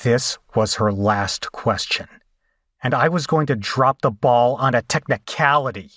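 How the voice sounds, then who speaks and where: A man's voice: medium pitch, unhurried, fairly bright tone, dry recording, clean studio sound. A man playing a tormented, sardonic captive who narrates his ordeal in a first-person horror audio drama. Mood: grim, anguished. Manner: weary, sardonic.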